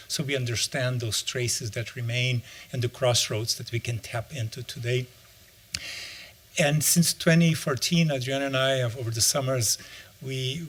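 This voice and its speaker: Reflective middle-aged man. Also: medium pitch. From Brazil. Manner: reflective, searching for words. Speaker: author and anthropologist. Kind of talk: interview answer.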